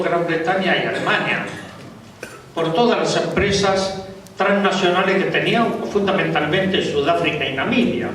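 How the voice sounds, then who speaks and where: A man's voice: sits high, fairly bright tone, a little hiss or hum. A man speaking on a conference panel, earnest, with a militant appeal. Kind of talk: conference talk. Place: large conference hall. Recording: echoey hall, distant mic.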